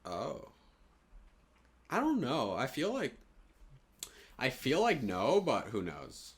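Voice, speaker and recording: A man's voice: mid-range voice, wildly swooping pitch, takes it slowly. A young man, chatting casually and swearing freely as a podcast co-host. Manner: informal, sweary. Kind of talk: casual chat.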